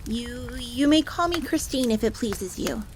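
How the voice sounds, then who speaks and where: Casting: prim tsundere fox maid, played by a woman, higher-pitched, formal, jealous and devoted servant, audio roleplay with rain behind. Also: unhurried, poor audio. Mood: prim, haughty. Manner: formal, stiff, speaks of herself in third person. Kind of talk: scripted audio roleplay acting. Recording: steady rain noise in background. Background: rain ambience.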